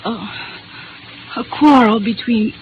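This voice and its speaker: A woman playing an earnest, fearful, dutiful young Chinese daughter, speaking softly and formally in a halting way in a 1950s radio drama. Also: a little high. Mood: anxious pleading. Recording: muffled, faintly noisy 1950s radio recording.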